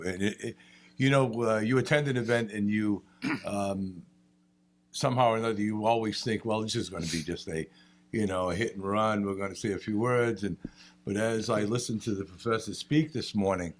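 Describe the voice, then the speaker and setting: Animated storytelling man. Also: fairly low pitch. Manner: animated storyteller, laughs at own jokes. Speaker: state representative. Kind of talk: panel remarks with anecdotes.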